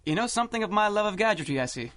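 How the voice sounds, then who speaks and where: An actor playing a confident, condescending young millionaire inventor, suave and grandiose, in a 1930s-style radio drama. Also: male voice, sits high, animated, rapid-fire, fairly bright tone, a little hiss or hum.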